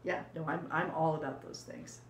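Measured older woman, roomy sound. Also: low-pitched, fairly even intonation. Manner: measured, reflective, explaining. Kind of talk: casual conversation. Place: small room indoors. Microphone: fixed camera, seated. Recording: roomy with faint background hiss.

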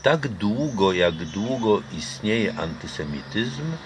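A man's voice: lowish voice, sing-song, slow pace, noisy background. An older man from Poland talking casually in an interview outdoors, reflective and hesitant with many fillers and restarts. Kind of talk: casual conversation. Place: outdoor garden patio. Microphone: camera close by.

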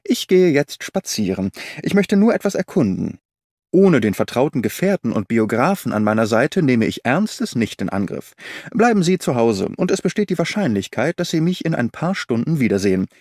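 A man voicing a brisk, confident detective who explains his reasoning eagerly, in an audiobook reading. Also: dry recording, clean studio sound. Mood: eager explaining. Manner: animated, briskly explaining, lifted pitch.

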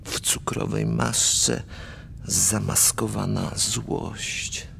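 Creaky, slow, brooding middle-aged count. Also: male voice, on the low side, steady tone, a bit bright, slight background noise, exaggerated voice. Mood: grim, brooding. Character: fifty-year-old Polish count, doomed romantic poet, morbid. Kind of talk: dramatic verse acting in a radio play.

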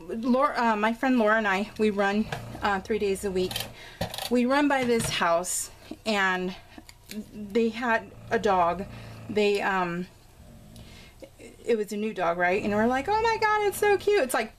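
A woman chatting casually and informally as she narrates a craft video, telling stories in a rambling way. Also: medium pitch. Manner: rambling, informal storytelling with exclamations. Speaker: craft vlogger showing a scrapbook layout. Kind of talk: casual, chatty real-time craft voice-over. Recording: clear background noise.

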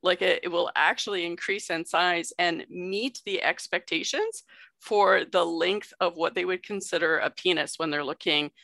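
A young woman speaks on a video call from home, explaining things conversationally and often checking in with her listeners. Her voice is low for a woman, with a gravelly, fry-edged texture and a bright, forceful tone. The sound is dry and close. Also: moderate pace, no room sound. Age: young adult. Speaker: guest sex educator. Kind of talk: educational presentation on video call.

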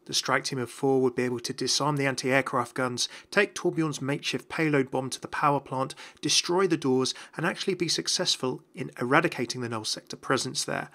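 A man narrates a scripted lore explainer. His voice is bright and forward, at a middling pitch, with the measured delivery of a video presenter. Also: vocal fry.

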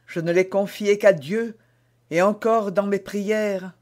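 A man's voice: fairly even intonation, slow, darkish tone. A man reading aloud and voicing an anguished, dignified young queen who pleads in raised cries. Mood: fearful. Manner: pleading, rising to high emotional cries.

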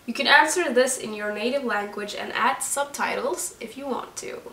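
A young woman with a Norwegian accent explains things to her viewers in a steady, friendly way. Her voice is bright and sharp. The camera sits on a desk a short way off, so a roomy echo follows each sentence. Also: a little high, moderate pace. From Norway. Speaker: book vlogger. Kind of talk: scripted chat to camera. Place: room with candles and plants. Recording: roomy echo, voice a little distant.

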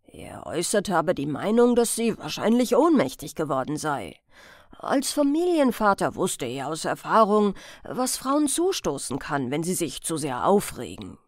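A woman's voice: fairly low pitch, very animated, moderate pace, dry recording, studio quality. A woman narrating a crime audiobook as a scripted reading.

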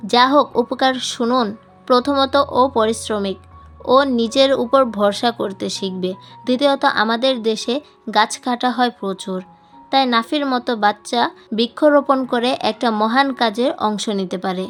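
A woman's voice: a little high, a little slow, soft background music, lightly acted. A woman voicing a cheeky, playful grown man in an audiobook, teasing with mock-serious, pompous reasoning. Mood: smug teasing. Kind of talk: audiobook character dialogue.

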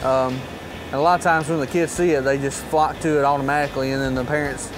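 Casting: male wildlife officer, quick casual delivery, very even pitch, background noise. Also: low-quality recording. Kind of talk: casual explanatory talk.

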